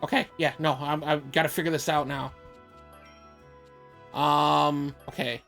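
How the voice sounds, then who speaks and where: A woman's voice: low-pitched, level tone, unhurried, gravelly, fairly bright tone, faint music behind. A woman bantering casually while gaming and dubbing with friends, joking and sweary. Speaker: gamer in a live fan dub.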